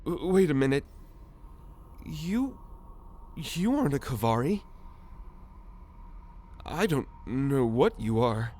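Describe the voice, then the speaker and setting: A man playing a jittery, earnest young alien captive, stammering and excitable, in an ASMR roleplay audio drama. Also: medium pitch, slow pace, pauses often, slight background noise. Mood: bewildered, relieved.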